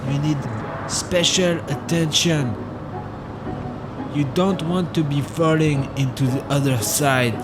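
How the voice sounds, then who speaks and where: High, unhurried foreign cabbie. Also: male voice, fairly even intonation. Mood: mysterious, earnest. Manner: non-native English, earnest, gently musing. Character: friendly, philosophical foreign taxi driver. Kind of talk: serial radio drama. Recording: clearly noisy street and car ambience.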